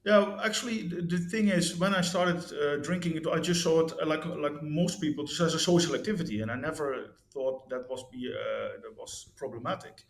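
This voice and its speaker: A man's voice: on the high side, moderate pace, vocal fry, few pauses. A man in his fifties from the Netherlands, a guest telling his story in an interview, hesitant, with many restarts and fillers. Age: 50s. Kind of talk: candid interview talk about his life. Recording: echoey room.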